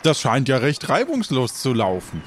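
A man narrating scenes as game master of an improvised role-play, measured and often pausing. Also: medium pitch, wildly swooping pitch, a little hiss or hum.

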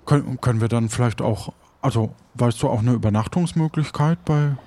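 A man improvising in an audio role-play as an easygoing seafarer, talking in a casual, halting way. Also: medium pitch, mellow. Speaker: game narrator and player.

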